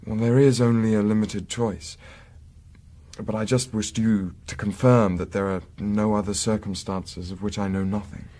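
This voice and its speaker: An actor playing a discreet, composed Victorian gentleman investigator in a period radio drama, speaking formally with measured, precise diction. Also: on the low side, steady tone, close to an everyday voice. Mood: reassuring, discreet.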